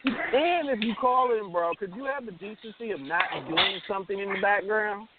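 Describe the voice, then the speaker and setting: A man's voice: high voice, moderate pace. A man chatting casually as a talk show co-host, slangy and emphatic, repeating words to make his point. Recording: tinny phone line with hiss and noise.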